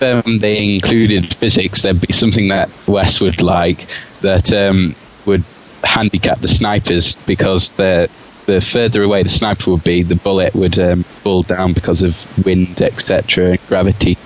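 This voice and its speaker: A man's voice: sits low, steady tone, moderate pace, poor audio. A fifteen-year-old male guest talking casually on a podcast. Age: teen, 15. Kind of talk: casual chat.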